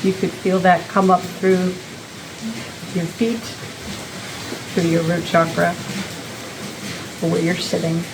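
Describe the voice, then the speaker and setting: Female meditation guide, gentle and unhurried, informal instruction, noticeable vocal fry, light ambient noise. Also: on the low side, flat delivery. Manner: gentle, unhurried, pausing between short phrases.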